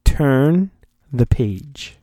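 A man's voice: mid-range voice, expressive, drawn-out words, mellow, dry recording. A man hosting a podcast, reading a storybook in a theatrical, mock-dramatic way and breaking off into rambling, sarcastic asides. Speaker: podcast host.

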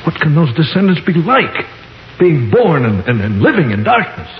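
A man's voice: a little high, very animated, normal speed. An actor playing an anxious, frightened man in an intense, melodramatic 1950s radio horror drama. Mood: fearful, disbelieving. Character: anxious, frightened man trapped in caverns, narrating his ordeal. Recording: noisy, dull, roomy 1950s radio recording.

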